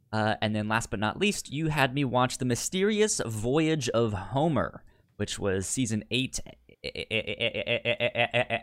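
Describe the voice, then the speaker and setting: A man's voice: medium pitch, no room sound, studio quality. A man co-hosting a podcast in a casual, chatty style, often stammering and repeating the starts of words. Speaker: podcast co-host. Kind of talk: casual chat about TV sitcoms.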